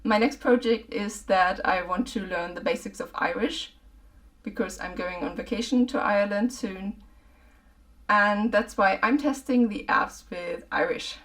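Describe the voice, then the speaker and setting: A woman with a German accent, narrating her language learning in a casual vlog, in a clear, measured way. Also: medium pitch, fairly bright tone, far from the mic. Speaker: vlogger.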